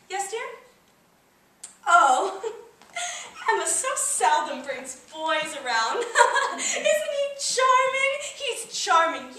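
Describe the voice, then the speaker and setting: Flirtatious society mother on stage, very high, bright, effusive, flustered, breezy, distant in echoing hall. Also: full character voice. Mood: flirtatious, delighted. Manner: theatrical, breezy, effusive chatter. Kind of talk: comic stage monologue. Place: indoor performance space, recorded from afar. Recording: very echoey hall, distant mic.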